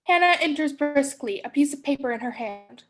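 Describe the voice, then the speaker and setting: A woman's voice: sits high, lively intonation, moderate pace, over music. A young woman hosting a staged play reading and narrating its stage directions. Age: young adult.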